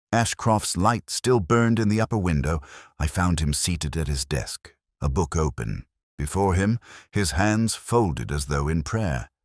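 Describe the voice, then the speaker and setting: Very deep-voiced man narrating a detective story. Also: dry recording, studio quality. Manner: measured, solemn, literary delivery. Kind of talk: scripted first-person detective narration.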